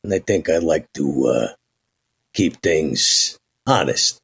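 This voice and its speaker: A man voicing a gruff, laid-back male vampire in a tabletop game, gravelly and husky, with slangy, folksy, hesitant phrasing. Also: rumbling low, lively intonation, slow, fairly bright tone, heavily acted. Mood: wry, guarded.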